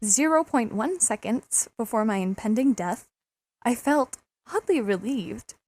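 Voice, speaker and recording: A self-pitying teenage schoolgirl, acted by a woman in a fairly high voice. She broods like a lonely anime heroine, with soft music behind her. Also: lively intonation, measured pace. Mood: wistful, resigned. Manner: brooding, introspective. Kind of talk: anime fan dub voice acting.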